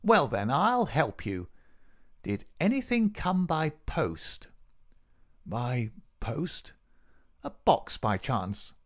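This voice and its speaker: A man voicing a cruel, gloating murderer in an audiobook, sneering and mock-solicitous in a dry rasp. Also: medium pitch, sing-song, drawn-out words, long pauses, voice pushed up, full character voice. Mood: insistent, menacing. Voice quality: dry, rasping. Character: gloating, cruel murderer taunting his dying victim. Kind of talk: dramatic audiobook character reading.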